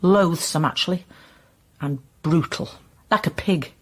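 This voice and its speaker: A woman's voice: fairly low pitch, unhurried, breathy edge. A woman acting a guarded, scornful, reluctant informant with clipped delivery in a radio crime drama. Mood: guarded disdain. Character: resentful, disdainful woman, reluctant police informant.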